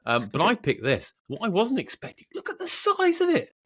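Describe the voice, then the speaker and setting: A man co-hosting a podcast, chatting casually and off the cuff in a rambling, playful, excitable way. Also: high-pitched, normal speed, breathy edge. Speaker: podcast co-host. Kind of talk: casual unscripted podcast chat.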